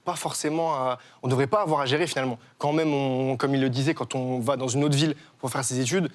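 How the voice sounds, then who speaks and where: A man's voice: mid-range voice, steady tone, fast talker. A young man, guest on a TV talk show, explaining his charity with many fillers and restarts. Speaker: guest, association co-founder. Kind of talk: TV studio interview.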